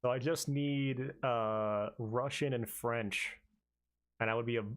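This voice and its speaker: A man's voice: medium pitch, fairly even intonation, takes it slowly. A man casually commentating his gameplay, cursing and rambling with self-mocking jokes. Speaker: let's player.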